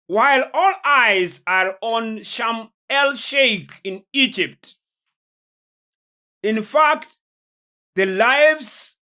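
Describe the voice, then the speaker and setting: A man preaching in a lecture style, deliberate and emphatic, pausing and repeating phrases for weight. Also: high-pitched, bright, forceful tone. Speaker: preacher, host of a religious programme. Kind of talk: sermon-like lecture on prophecy and world events.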